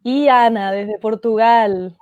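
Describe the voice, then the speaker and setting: A woman hosting an online panel talk, upbeat and quick with her reactions. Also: a little high, steady tone, clear, resonant tone, no echo, lo-fi sound. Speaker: panel host.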